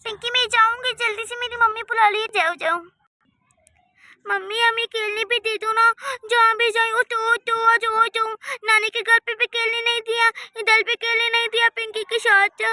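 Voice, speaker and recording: A woman's voice: very high-pitched, flat delivery, normal speed, sharp tone, heavily acted. A woman voicing a cheeky little village girl who complains in a childlike way in a children's cartoon story. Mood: excited.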